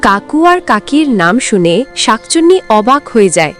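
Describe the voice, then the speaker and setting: A woman's voice: fairly high pitch, very animated, measured pace, soft background music. A woman giving scripted fairy-tale narration as the storyteller.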